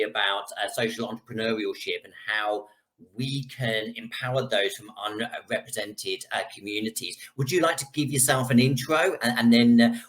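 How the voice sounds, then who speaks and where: Guy hosting a live online interview, medium pitch, polite, bit hesitant. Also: a bit bright, low-quality recording. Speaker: host.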